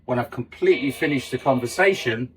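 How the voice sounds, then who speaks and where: A man's voice: medium pitch, a little fast, a little hiss or hum, low-quality recording. A middle-aged man giving an informal, explanatory lesson as a sailing instructor, conversational and stressing key terms.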